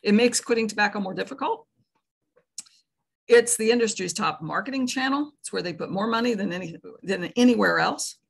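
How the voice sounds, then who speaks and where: A hoarse woman, giving a conversational, explanatory presentation at an online meeting, with fillers and self-corrections. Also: mid-range voice, lively intonation, fairly quick. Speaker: tobacco prevention director presenting.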